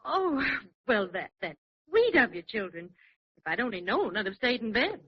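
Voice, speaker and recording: A gracious middle-aged housewife in an old radio comedy. Her voice is fairly high and very lively in pitch, with a gentle, sweet manner. The vintage recording is muffled. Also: normal speed, breathy edge. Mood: touched. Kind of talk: 1940s radio sitcom acting.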